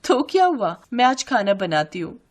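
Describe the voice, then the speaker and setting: A woman's voice: fairly high pitch, sing-song, moderate pace. A woman voicing a sweet, eager young daughter-in-law who speaks politely and pleadingly in a family story.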